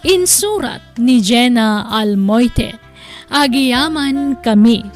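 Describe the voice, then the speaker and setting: Woman narrator for an audio drama, slow and measured, music under. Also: on the high side, lively intonation. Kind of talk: scripted radio-drama narration.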